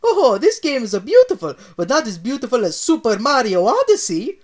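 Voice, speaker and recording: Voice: mid-range voice, huge pitch swings, brisk, a little mellow, pitched above the performer's own voice. A reader voicing a cheerful cartoonish plumber with a put-on Italian accent, exclaiming in a sing-song way. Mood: giddy. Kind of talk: comedic fan fiction reading.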